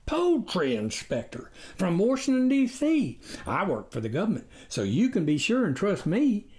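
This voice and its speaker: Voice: medium pitch, wildly swooping pitch, moderate pace, a little mellow, pitched above the performer's own voice. A narrator putting on a yappy, wheedling coyote. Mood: urgent, insistent. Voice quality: baying, yapping, at times near howling. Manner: over-polite, wheedling, indignant, repeats words. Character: smooth-talking wily coyote posing as government poultry inspector.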